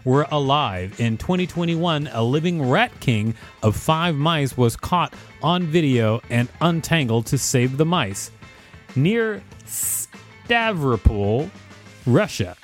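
A man's voice: fairly high pitch, lively intonation, slow pace, faint music behind. A man co-host reading an encyclopedia article aloud, with casual asides here and there.

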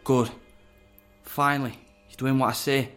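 An actor playing a smug traitor agent in an audio drama, taunting, condescending and possessive. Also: male voice, mid-range voice, flat delivery, measured pace, over music, lightly acted. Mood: smug, commanding.

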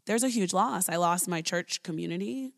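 A woman's voice: lowish voice, normal speed, vocal fry. A woman in her thirties, an early childhood educator, telling her personal story in an interview, earnest and talky with lots of "like" and "right?".

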